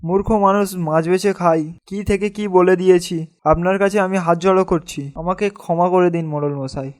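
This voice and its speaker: A man's voice: high-pitched, fairly even intonation, a little fast, clear, resonant tone, lightly acted. A man acting a humble, anxious village fisherman in a cartoon, with a meek, pleading way of speaking. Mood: apologetic.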